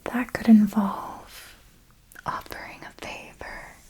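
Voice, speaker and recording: Woman playing a slow, whispering vampire mentor. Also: medium pitch, flat delivery, a little mellow, close to an everyday voice, low-quality recording. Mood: instructive, composed. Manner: slow, deliberate, intimate, formal phrasing. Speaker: ASMR performer. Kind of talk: whispered ASMR roleplay monologue.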